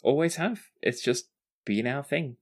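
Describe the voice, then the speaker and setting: Game master as a teasing, animated royal brother. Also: mid-range voice, unhurried, pitched above the performer's own voice. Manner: casual, teasing. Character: Max, princess's teasing, affectionate brother.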